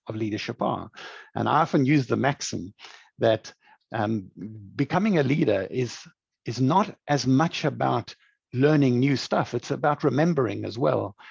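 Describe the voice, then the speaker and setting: A man's voice: medium pitch, creaky, a little mellow, slightly rough, no echo. A middle-aged man from South Africa with a South African accent, presenting a webinar as a program director in an earnest, emphatic way with many restarts, over a video call. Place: home or office video call. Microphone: video call mic. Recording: dull lo-fi audio, dry.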